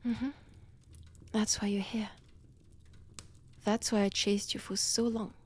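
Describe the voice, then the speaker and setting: Breathy, slow French-accented female vampire acted by a woman. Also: medium pitch, flat delivery. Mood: menacing. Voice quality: breathy, often dropping into whispers. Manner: slow, deliberate, theatrical with long pauses. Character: centuries-old female vampire, wounded, obsessive, menacing yet tender. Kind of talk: intimate dramatic roleplay audio.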